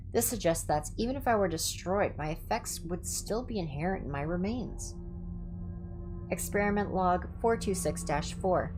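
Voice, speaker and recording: A woman livestreamer reading horror entries aloud in an ASMR style, with casual asides. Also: lowish voice.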